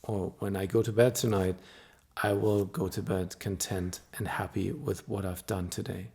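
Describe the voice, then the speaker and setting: A middle-aged man whose first language is German, a hypnotist talking to camera in a calm, explanatory and softly persuasive way. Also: low voice, normal speed, gravelly, slightly rough. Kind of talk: solo talk to camera.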